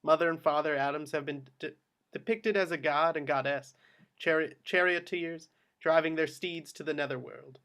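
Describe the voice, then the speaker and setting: A man's voice: fairly high pitch, normal speed, a bit bright. A man narrating action descriptions from a script at a table read. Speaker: stage-direction narrator.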